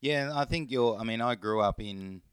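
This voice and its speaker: A man's voice: medium pitch, moderate pace, smooth, no room sound, studio quality. A man from England and Norway hosting a podcast, asking friendly questions with fillers in casual talk. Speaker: podcast host. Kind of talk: casual interview.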